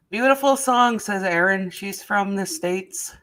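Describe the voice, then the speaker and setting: A woman's voice: medium pitch, slow, a bit bright. A woman hosting a live online interview over a video call, hesitant, reading out viewer comments between questions. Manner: hesitant, reads out viewer comments, many fillers. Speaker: host.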